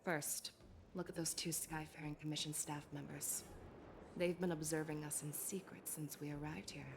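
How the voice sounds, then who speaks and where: A woman voicing a composed, shrewd general in a video game, with a measured, commanding, analytical manner. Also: low voice, flat delivery, background noise. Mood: calm, calculating.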